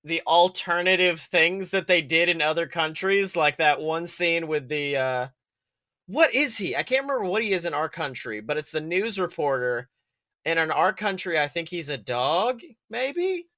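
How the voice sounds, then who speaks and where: A man talking casually as a podcast co-host, excitable and exclamatory, swearing freely as he goes. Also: on the high side, normal speed, dry recording, clean studio sound. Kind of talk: casual chat about an animated film.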